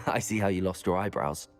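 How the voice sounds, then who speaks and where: A discreet new student speaks in a polite, reserved voice. His pitch sits somewhat low and steady. Faint music runs beneath the game cutscene. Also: a little slow.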